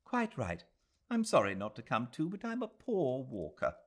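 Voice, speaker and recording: A man as a kindly old English lady, raised pitch, gentle, polite, weary, audiobook character. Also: huge pitch swings, normal speed. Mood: apologetic.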